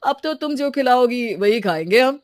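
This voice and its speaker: A woman's voice: on the high side, lively intonation, normal speed, dry recording, pitched above the performer's own voice, natural voice. A woman as young husband, blunt, casual, emphatic, dry close sound, moral story dialogue. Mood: pleased.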